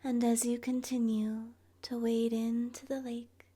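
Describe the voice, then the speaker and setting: A woman softly narrating an ASMR guided meditation in a breathy, whispery voice, gentle and soothing, leaving long pauses. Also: a little high, flat delivery.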